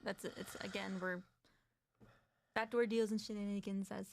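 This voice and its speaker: A woman's voice: mid-range voice, level tone, moderate pace, creaky, slight background noise. A woman co-hosting an advocacy talk show, chatting casually and reading documents aloud with commentary. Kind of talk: casual discussion.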